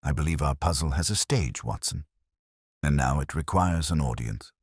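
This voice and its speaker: Guy doing a super deep clever detective for an audiobook, composed n cryptic, measured, dry sound. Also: breathy edge, no echo, heavily acted. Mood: thoughtful, cryptic. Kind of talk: audiobook detective story dialogue.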